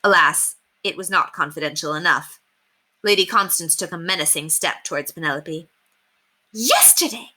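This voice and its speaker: A woman giving an expressive, dramatic narration of a children's novel, with deliberate phrasing. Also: low voice. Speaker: storybook reader.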